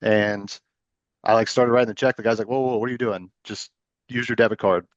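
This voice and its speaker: A man of thirty chatting in a relaxed way and telling short personal stories as a co-host. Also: fairly low pitch, a little fast, dry recording, lo-fi sound. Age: 30s. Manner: relaxed, anecdotal. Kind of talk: casual chat.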